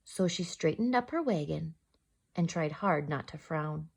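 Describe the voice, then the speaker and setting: A woman reads a picture book aloud for young children as their storyteller. Her delivery is slow and very animated in pitch, with some creak in the voice. She speaks directly to her little listeners. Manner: expressive, addresses young listeners with questions. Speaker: storyteller, host.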